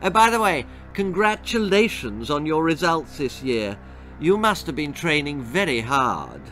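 A sly schoolboy cheat purrs fake charm and mocking lines, as a man reads him. His pitch rises and dips with lively swings, and faint music plays underneath. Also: a little slow, exaggerated voice. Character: sly scheming schoolboy rival, smug and spiteful.

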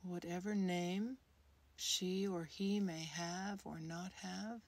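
Very slow, breathy woman guiding meditation. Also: on the low side, fairly even intonation. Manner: soothing, unhurried, with long pauses between short phrases. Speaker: guided meditation leader, creativity coach. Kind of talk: guided meditation, gentle scripted narration.

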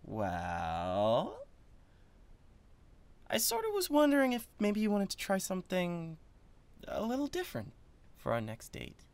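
A man playing an excitable, doting devil in a roleplay audio, stammering and gushing with awkward, playful affection. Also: sits high, measured pace, pauses often, no room sound, full character voice. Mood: tender, awkward. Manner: stammering, gushing, swings from gentle to manic.

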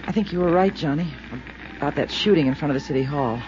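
An actress playing a frightened young widow who is anxious and pleading, in a 1950s radio drama. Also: female voice, low-pitched, fast talker, darkish tone, close to an everyday voice. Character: frightened widow in a burned-out house. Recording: dull vintage radio recording, faint hiss.

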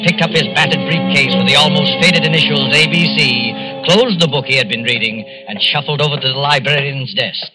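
A man playing an ominous narrator in formal, measured 1940s radio style. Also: mid-range voice, over music, lightly acted, poor audio. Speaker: announcer and narrator.

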